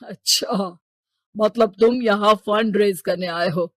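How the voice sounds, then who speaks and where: A woman's voice: medium pitch, steady tone, slow, a bit bright, dry recording. A woman voicing a stern, money-minded, domineering father in a cartoon moral story, brusque and scolding. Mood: dismissive.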